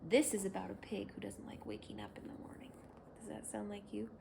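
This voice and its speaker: A woman hosting a children's read-aloud, telling a bedtime story in a gentle, expressive way. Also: medium pitch, brisk, vocal fry, whispered. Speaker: children's story reader and host.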